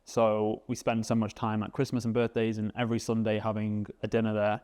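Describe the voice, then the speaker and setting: A man, chatting casually as a guest, relaxed and rambling with lots of fillers. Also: fairly low pitch, a little fast, clear, resonant tone. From England. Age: 20s. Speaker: guest, vegan cook. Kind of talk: casual interview chat.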